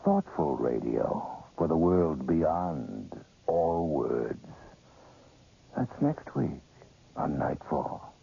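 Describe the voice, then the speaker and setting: A sinister horror host speaks low and slowly in a gravelly, husky voice. His knowing, ominous lines swing in pitch, like scripted old radio narration. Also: very animated, warm tone, exaggerated voice. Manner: deliberate, ominous. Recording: slightly dull old radio recording.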